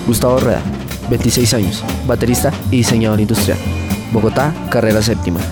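A man's voice: lowish voice, steady tone, fast talker, over loud music. A young man with a Colombian accent reading a scripted first-person story for student radio. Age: teens to young adult.

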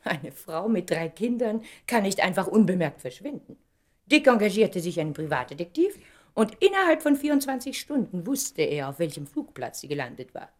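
An actress in a German radio play playing a proud, probing woman who speaks in a deliberate, pointed, dramatic way. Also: on the low side, big pitch swings. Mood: troubled, urgent. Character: Valerie, proud woman, probing, articulate, emotionally insistent.

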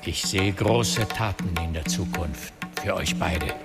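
A man's voice: low voice, unhurried, vocal fry. An actor playing a solemn male seer in a fantasy radio drama, speaking in an ominous, prophetic manner. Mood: foreboding. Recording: music behind the voice.

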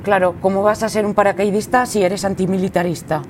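Radio drama: excitable, scatterbrained young activist played by a woman, Spain accent, flustered slangy chatter, faint background noise. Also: mid-range voice, fairly quick, close to an everyday voice. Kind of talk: radio drama acting, colloquial.

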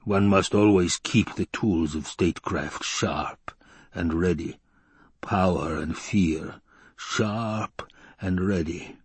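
A man acts out a scheming, tyrannical baron in a dramatised audiobook. The voice rumbles, husky and drawn-out, with imperious contempt in a close, dry recording. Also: no echo, exaggerated voice. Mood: scornful musing. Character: menacing, scheming, obese male baron and tyrant.

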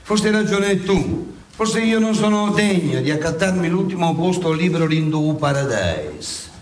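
Boastful old-church friar saint: high voice, sarcastic oratory, satirical theatre, echoey distant stage recording. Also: male voice, big pitch swings, measured pace. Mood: accusing, sarcastic. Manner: declamatory, sarcastic, mock-courtly oratory. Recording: echoey stage, distant mic, faint noise.

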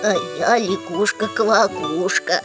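Lady as a friendly little frog in a kids story, slowish singsong, music behind. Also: medium pitch, lightly acted. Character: friendly female frog from a fairy tale. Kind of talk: children's musical audio fairy tale acting.

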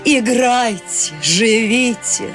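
An actress playing an eccentric, life-loving old woman in a radio play, talking in a warm, coaxing way. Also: a little high, very slow, music in the background. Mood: impassioned. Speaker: Maude, lead role in radio play.

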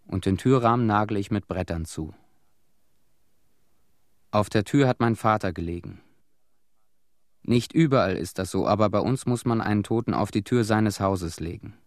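An actor playing a haunted, quietly bitter middle-aged family man, giving deliberate testimony in a radio play. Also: lowish voice, no room sound, studio quality, lightly acted.

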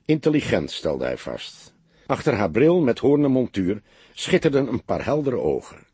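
A man narrating an audiobook story from a script in a measured, expressive way. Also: mid-range voice, husky, no echo.

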